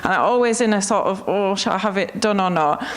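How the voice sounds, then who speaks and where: A woman's voice: medium pitch, brisk. A woman in her thirties with an Australian accent, moderating a panel with thoughtful, hesitant questions. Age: 30s.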